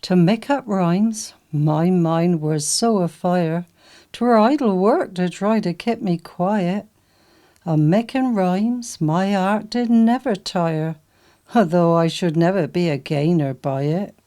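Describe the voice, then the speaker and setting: A woman's voice: fairly low pitch, slow, mellow. A woman with a Dorset accent reciting dialect poetry. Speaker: poetry reader, literary society member.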